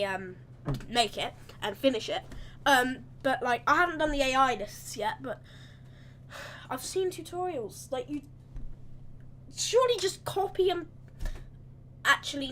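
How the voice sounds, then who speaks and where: Bright, croaky-voiced woman streamer. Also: a little high, slight background noise. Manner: rambling, chatty, self-correcting. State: slightly croaky voice. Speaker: game streamer. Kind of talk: live gameplay commentary.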